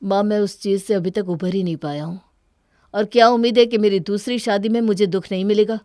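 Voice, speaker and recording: A woman's voice: medium pitch, a little fast, a little mellow, no echo, clean studio sound, natural voice. A woman voicing a hurt, guarded young husband in a cartoon story, with an earnest, plaintive manner. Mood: guarded, sombre.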